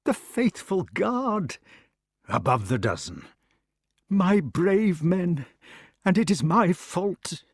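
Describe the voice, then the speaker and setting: A man voicing an excitable, fretful old king who cries out in a high, breathless voice. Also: slow, long pauses, slightly rough, no echo, clean studio sound, exaggerated voice. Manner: high-pitched, breathless, exclaiming.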